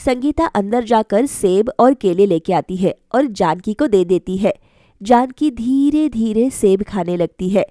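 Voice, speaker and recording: A woman's voice: mid-range voice, animated, a little slow, mellow, no echo, clean studio sound. A woman narrating a scripted bedtime story for a cartoon.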